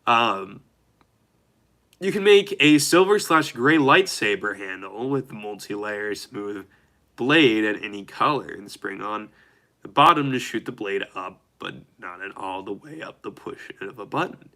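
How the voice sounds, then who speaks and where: A young man chatting on a live stream, nasal and dry-throated from a cold, rambling and reading viewer messages haltingly. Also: lowish voice, lively intonation, bright, forceful tone. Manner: rambling, chatty, reads chat aloud haltingly. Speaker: livestreamer.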